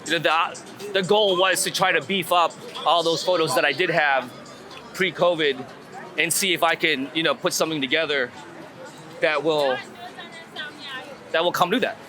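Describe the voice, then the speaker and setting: A man's voice: fairly high pitch, fairly even intonation, moderate pace, long pauses, over music, crowd noise. A man being interviewed casually as a street photographer, thinking aloud and repeating words as he goes. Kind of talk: casual walk-and-talk interview. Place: outdoors on a city street. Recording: outdoor street recording with city traffic noise. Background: urban ambience and vehicles.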